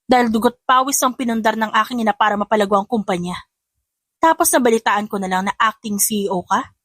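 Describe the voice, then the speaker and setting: Woman narrator doing a mocking rich girl out for payback in an audio drama, high voice, dry close sound. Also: fairly bright tone, no room sound. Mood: mocking, defiant. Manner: taunting, confrontational. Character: spiteful, defiant young heiress, sharp-tongued and mocking.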